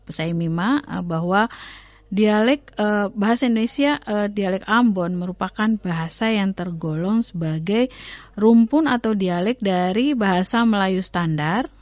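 A woman's voice: medium pitch, unhurried, darkish tone, dry recording, lo-fi sound. Woman explaining language on studio radio. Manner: explanatory, many hesitations and self-corrections. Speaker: language researcher guest. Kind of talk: educational radio talk.

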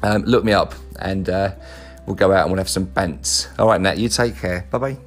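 Deep-voiced guy leaving a jokey voice note, lots of ums, faint music behind. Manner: wry, joking, with frequent filler pauses. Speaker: former podcast guest. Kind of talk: recorded congratulatory voice message.

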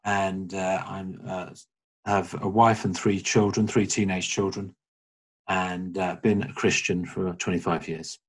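A middle-aged man from England speaking as a guest on a video call, measured and soft-spoken, often trailing off. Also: low-pitched, level tone, slightly rough. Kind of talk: casual conversation.

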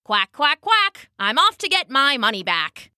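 Give this storybook duck , played by a woman, a high, bright voice that swings eagerly. He is a small, polite, cheerful fellow with a quacking, chanting way of talking and real determination. The sound is close and dry. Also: big pitch swings, slow, dry recording, full character voice. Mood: determined. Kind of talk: children's storybook character voice.

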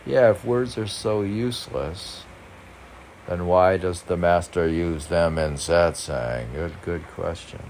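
A man's voice: low voice, slow pace, a little mellow. A man reading his own short poems aloud, rambling wryly and talking back to himself in casual commentary. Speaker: poet reading own work. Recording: noticeable background noise.

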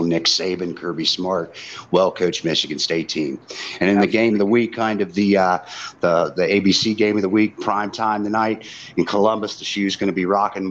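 A man with a very deep voice hosts casual college football talk. He talks conversationally, as a sports show host does. The audio is somewhat dull and lo-fi, with faint noise behind him.

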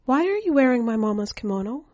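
A woman's voice: a little high, animated, quick pace, warm tone, no echo, highs cut off, pitched above the performer's own voice, natural voice. A woman voicing a small, earnest and bold orphan boy in a short story, innocent and matter-of-fact. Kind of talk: audiobook-style short story character voice.

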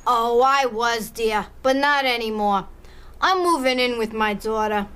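A woman's voice: on the high side, measured pace, a bit bright, clean tone. A woman playing a kindly, fretful elderly pensioner in an audio drama.

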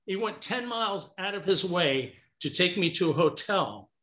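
A man's voice: sits high, normal speed. A man from Cuba, a guest author, telling stories in a casual interview over a remote call and talking with his hands. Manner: animated storyteller, talks with his hands. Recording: echoey, muffled call audio.

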